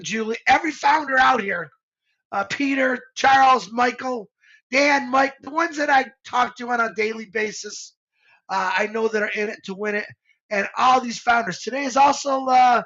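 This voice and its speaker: A man's voice: high voice, creaky, bright, forceful tone, muffled recording. A man in his fifties, co-hosting a live stream, talking in a heartfelt, rambling way with lots of restarts. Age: 50s. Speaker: co-host of a live show. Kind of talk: casual live-stream talk.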